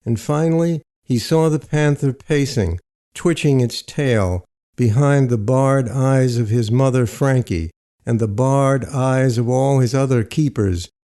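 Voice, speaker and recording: A man narrating literary fiction in a measured, deliberate storytelling manner. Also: mid-range voice, warm tone.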